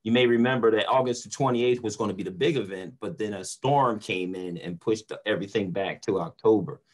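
A man's voice: on the low side, a little fast. A middle-aged man, a motivational speaker, telling stories conversationally over a video call from a room at home. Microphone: computer microphone on a video call.

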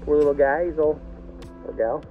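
A man's voice: on the high side, moderate pace. A middle-aged man chatting informally outdoors as he films a vlog on a phone, with soothing asides to animals. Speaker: sailing vlogger. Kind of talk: casual vlog chat, talking to animals. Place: outdoors on a rocky, scrubby island shore. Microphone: phone camera, at arm's length or propped a few feet away. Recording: dull phone audio under loud music. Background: loud music.

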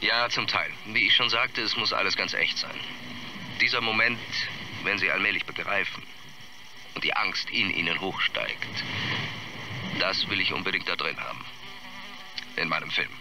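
Film director: deep voice, intense and ominous interviewee, radio drama, noisy recording. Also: male voice, normal speed, creaky, pauses often.

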